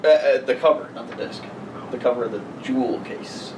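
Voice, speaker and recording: A man's voice: mid-range voice, brisk, darkish tone. Casual young man, distant in echoey room. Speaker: podcast co-host. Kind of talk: casual banter and reading aloud among friends. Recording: roomy, noisy, far from mic.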